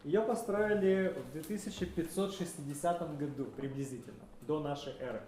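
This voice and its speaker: A man's voice: a little high, normal speed, a little mellow, slight background noise. A man interpreting a sermon live, hesitant, with filler sounds and frequent self-corrections. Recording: roomy hall with faint ambience.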